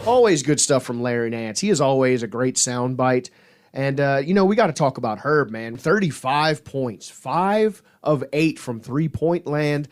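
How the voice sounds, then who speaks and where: A man's voice: medium pitch, animated, moderate pace. A man hosting a podcast in a casual, informal sports chat style. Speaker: podcast host.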